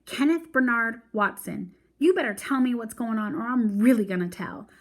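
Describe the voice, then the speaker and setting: Bossy kid sister, played by a woman reader, storybook character, high-pitched, demanding, dramatic, exasperated. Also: moderate pace. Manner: demanding, dramatic, imitating her scolding mother. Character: bossy, exasperated little girl scolding her older brother. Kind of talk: storybook read-aloud character voice.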